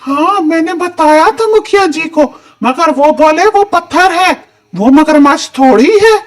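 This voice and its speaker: A man's voice: extremely high pitch, animated, a little slow. A man playing an honest, fearful village herdsman in a children's cartoon story, speaking in an earnest, plaintive manner. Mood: defensive.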